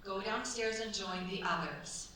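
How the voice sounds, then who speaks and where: A woman's voice: medium pitch, a little slow, sharp tone. A woman acting a shrewd, coaxing older French chateau mistress in a staged radio play, with a theatrical, commanding manner. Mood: urgent, commanding. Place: theatre stage at a cultural centre. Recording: very echoey stage, distant mic, faint noise.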